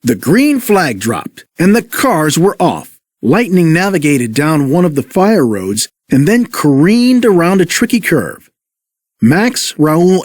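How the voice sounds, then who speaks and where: Guy narrating a kids read along, unhurried, super expressive, dry close sound. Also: a little high, no echo. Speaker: storybook narrator.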